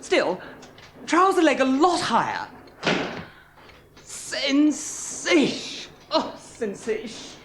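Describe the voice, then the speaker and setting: A man's voice: very high voice, wildly swooping pitch, slow, long pauses, exaggerated voice. An English actor in a 1970s radio play, playing a breezy, teasing male press photographer who bosses his subject about. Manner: breezy, teasing, theatrical exclamations. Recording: hissy old radio broadcast.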